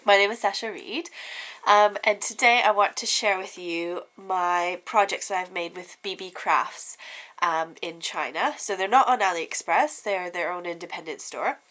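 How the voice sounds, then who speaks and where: Bunged-up woman, bright voice. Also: lowish voice, moderate pace. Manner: chatty, giggly, lots of ums. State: congested with a head cold. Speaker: craft vlogger. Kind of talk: casual show-and-tell chat.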